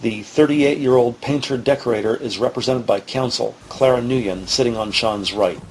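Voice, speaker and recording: A man's voice: medium pitch, moderate pace, a little hiss or hum, poor audio. A man narrating scenes of an audio drama from a script in a formal, descriptive way.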